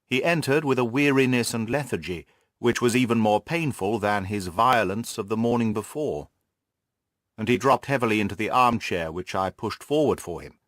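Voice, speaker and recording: A man's voice: lowish voice, lively intonation, normal speed, no echo, clean studio sound. A man reading classic detective fiction aloud as scripted audiobook narration.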